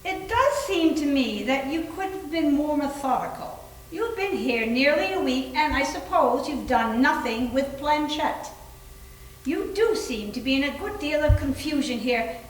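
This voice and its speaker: Imperious, self-important wife played by a woman, high voice, readers theater, very echoey room. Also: lively intonation. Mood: imperious, critical. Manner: imperious, brisk, pompous. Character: bossy, self-important doctor's wife, impatient spiritualist. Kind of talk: readers theater stage reading. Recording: echoey room, mic at a distance.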